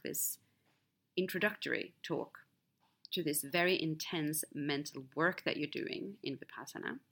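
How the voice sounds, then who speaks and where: A bright, slightly low-pitched woman speaks English as a second language; her native tongue is Swedish. She tells a personal story candidly, in a reflective monologue with big swings in emphasis. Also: vocal fry. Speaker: podcast host.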